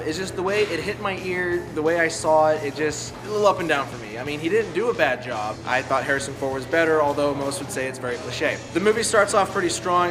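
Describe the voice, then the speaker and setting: A man hosting a casual movie review show, talking informally in short, punchy phrases. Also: a little high. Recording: loud music bed behind voice.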